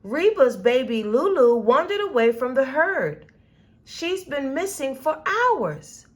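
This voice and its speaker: A worried, earnest zoo worker man in a children's story, played by a woman reader. The voice is fairly high, with urgent, animated rises. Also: slow pace, heavily acted. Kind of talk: children's storybook character reading.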